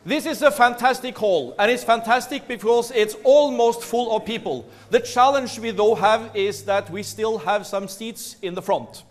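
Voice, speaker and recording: A Norwegian man with a Norwegian accent giving a lively, playful business talk to a seminar audience in a large hall. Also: very high-pitched, normal speed, few pauses. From Norway. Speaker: seminar speaker.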